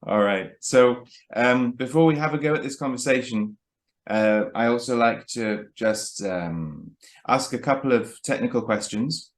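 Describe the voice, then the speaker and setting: A man's voice: mid-range voice, unhurried. A man hosting a casual interview, asking questions with a hesitant, friendly manner and frequent fillers. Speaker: host. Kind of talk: casual interview over video call.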